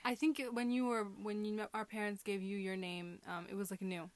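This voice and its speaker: A woman's voice: mid-range voice, fairly quick, no echo. Rambling, laughing young woman from California. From Southern California. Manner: rambling, laughs often, animated storytelling. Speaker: podcast co-host. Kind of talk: casual chat among sisters.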